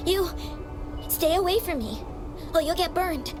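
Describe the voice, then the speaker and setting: An actress playing a frightened, guarded young girl in a video game, with an urgent, halting delivery. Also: very high-pitched, expressive, music in the background. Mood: distressed, guarded. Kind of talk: dramatic video game voice acting.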